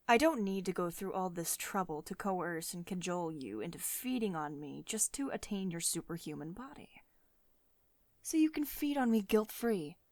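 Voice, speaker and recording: A woman playing a commanding, possessive billionaire CEO in an intimate ASMR roleplay, speaking in a measured, condescending, coaxing way to one listener. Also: medium pitch, dry recording, studio quality, close to an everyday voice. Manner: measured, condescending pet names, drops into breathy whispers.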